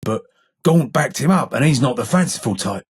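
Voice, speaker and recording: Husky, sardonic old English ghoul. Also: male voice, mid-range voice, lively intonation, moderate pace. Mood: matter-of-fact. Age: older. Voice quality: husky, rough. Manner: blunt, slangy, salty-tongued. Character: gruff, sardonic old blind ghoul, gang boss's right-hand man. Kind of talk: video game character dialogue.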